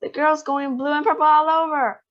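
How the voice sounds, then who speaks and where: A woman voicing a frantic, shrieking mother in a read-aloud storybook, her voice shrill and screaming. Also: steady tone, normal speed, close to an everyday voice. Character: panicking shrieking mother, middle-aged woman. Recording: dull lo-fi recording.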